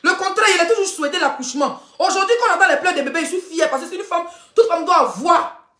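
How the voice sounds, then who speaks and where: High-voiced woman. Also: fast, fairly bright tone, roomy sound. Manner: rambling, often laughing mid-sentence. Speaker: live-stream gossip blogger. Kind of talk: casual live-stream commentary.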